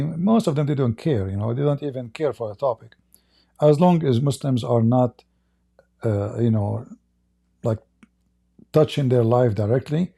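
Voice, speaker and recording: A male host answers a caller on a live show with firm, deliberate emphasis. His voice is very dark and mellow at a middle pitch, with a gravelly fry running through it.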